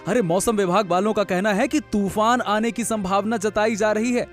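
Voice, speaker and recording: A man voicing village farmer brothers in a cartoon story, exclamatory and expressive. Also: sits high, moderate pace, faint music behind, lightly acted. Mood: urgent. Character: two farmer brothers, one anxious, one carefree. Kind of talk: animated Hindi cartoon dialogue.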